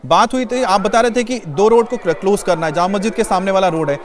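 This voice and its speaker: Fast, high-pitched male news anchor. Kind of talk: live questioning of a reporter.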